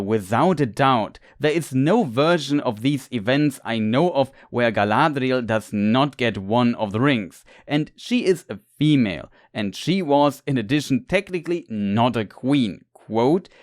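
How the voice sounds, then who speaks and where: A man talks through fantasy book lore at a steady, mid-range pitch. His delivery is measured and explanatory, like a prepared narration. The sound is dry and close, with no room echo. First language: German. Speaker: lore video creator.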